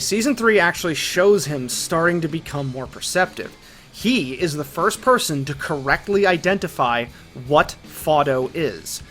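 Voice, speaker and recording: A man's voice: on the high side, fairly bright tone. A man giving scripted, emphatic storytelling narration as the narrator of an analytical video essay. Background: background music.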